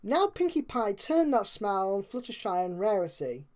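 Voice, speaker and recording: A woman reading a story aloud as narrator, with expressive storytelling and dramatic emphasis. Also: mid-range voice, moderate pace. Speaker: fan fiction narrator.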